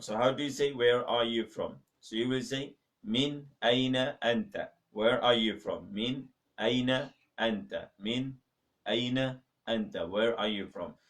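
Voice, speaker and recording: A man's voice: mid-range voice, a bit bright, far from the mic. A man teaching a beginner Arabic lesson in a mosque, patiently repeating each phrase and checking with "okay?". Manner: patient, repeats phrases slowly with many okays. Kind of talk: live-streamed beginner language lesson. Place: mosque classroom.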